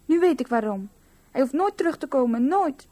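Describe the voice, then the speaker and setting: A woman's voice: high-pitched, lively intonation, normal speed, mellow. A female performer in a 1970s radio play voicing a stubborn, outspoken little girl with insistent, childlike delivery. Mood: defiant. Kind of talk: 1970s Dutch-language radio play acting.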